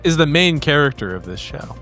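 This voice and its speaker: A man's voice: a little high, big pitch swings, a little fast, fairly bright tone. A man chatting casually and jokingly at a tabletop game. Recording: music under the voice.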